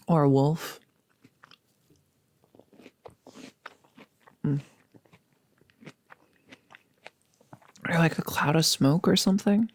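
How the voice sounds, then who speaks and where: A woman as a low, gravelly-edged chatty girlfriend. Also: darkish tone. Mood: musing, hopeful. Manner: casual, rambling, hesitant musing. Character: chatty, upbeat girlfriend about to become a vampire. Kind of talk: intimate ASMR audio roleplay.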